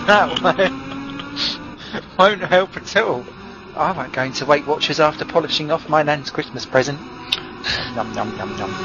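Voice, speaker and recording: A man giving chatty, joking play-by-play commentary on a sim race. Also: fairly high pitch. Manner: chatty, jokey, fast play-by-play. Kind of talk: sim racing live commentary. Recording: muffled stream audio with music behind.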